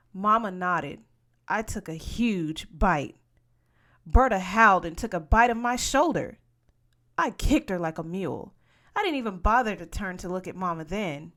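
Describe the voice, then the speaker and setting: A woman reading a children's story aloud in expressive scripted narration. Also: medium pitch. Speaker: story reader.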